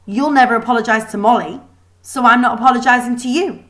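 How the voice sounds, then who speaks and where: A woman's voice: a little high, fast, a bit bright, pitched above the performer's own voice, lightly acted. A woman voicing a spirited young schoolgirl heroine in a children's storybook, indignant, defiant and earnest. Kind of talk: children's storybook read aloud.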